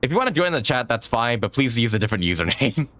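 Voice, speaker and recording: A man's voice: medium pitch, very animated, fast, fairly bright tone. A man live-streaming a game, chatting casually with viewers in a joking, musing way.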